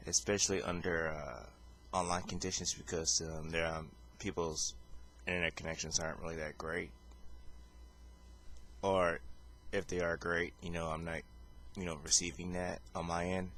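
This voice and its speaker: Male game commentator, very low voice, rambling and halting, casual and unscripted. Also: flat delivery, a bit bright, slight background noise. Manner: rambling, hesitant, many fillers and restarts; calls his own voice boring. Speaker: gameplay commentator.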